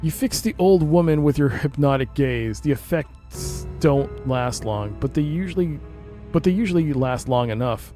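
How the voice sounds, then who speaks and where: A man's voice: a little high, animated, moderate pace, warm tone, soft background music. A man streaming a game, reading its narration aloud and adding casual commentary.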